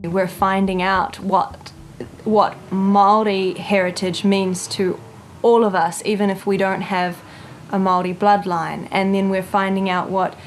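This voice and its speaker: A woman answering interview questions thoughtfully, pausing to search for words. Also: mid-range voice, little pitch movement, soft background music.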